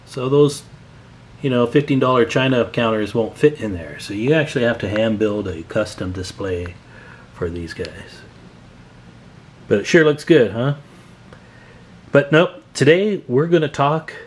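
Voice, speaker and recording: A man giving an informal, folksy product showcase as a hobbyist builder, talking from behind a handheld camera indoors. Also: mid-range voice. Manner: informal, folksy, asks rhetorical questions. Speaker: hobbyist radio builder presenting own work. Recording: noisy room recording on handheld camera.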